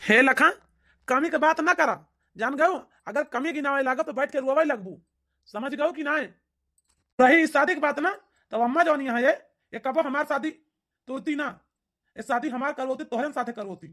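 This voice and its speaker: A woman voicing an earnest, defiant young man in a cartoon, talking loudly and emphatically with an Awadhi accent. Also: high voice, moderate pace, pauses often. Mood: firm. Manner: loud and emphatic. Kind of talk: cartoon voice acting.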